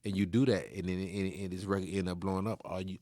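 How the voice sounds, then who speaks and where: A man co-hosting a casual podcast chat, arguing his points and laughing, saying he is high. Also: fast talker, dry recording, clean studio sound. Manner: argumentative, animated, laughing. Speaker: podcast co-host.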